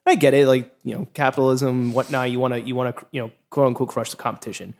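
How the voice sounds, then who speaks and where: Male host, conversational, mid-pitched, rambling questions. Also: little pitch movement, quick pace, darkish tone. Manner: rambling, self-correcting questions. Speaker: podcast host.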